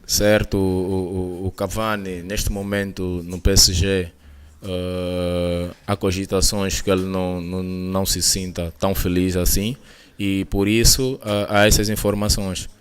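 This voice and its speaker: A man giving football commentary in a hesitant, measured way with many fillers. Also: sits low, little pitch movement, clear, resonant tone. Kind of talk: sports commentary chat.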